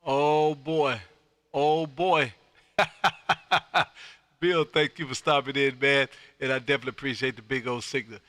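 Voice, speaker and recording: A man's voice: mid-range voice, steady tone, measured pace, fairly bright tone, no room sound. An exuberant, folksy man hosting an amateur radio livestream, chatting on air and often repeating his phrases. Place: home radio room.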